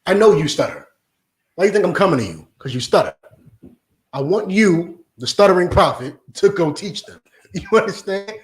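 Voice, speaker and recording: A man teaches a religious class in a forceful, insistent preaching style, checking again and again that his listeners follow. His voice sits fairly high for a man. The room around him rings with echo. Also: animated, moderate pace. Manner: forceful, repeats "you understand?". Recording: echoey room.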